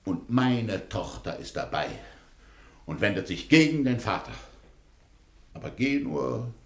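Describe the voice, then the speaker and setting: An actor playing a stern, aggrieved middle-aged governor and family patriarch in a German radio drama. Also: male voice, medium pitch, big pitch swings, measured pace, gravelly, echoey room. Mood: indignant, bitter. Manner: authoritarian, aggrieved.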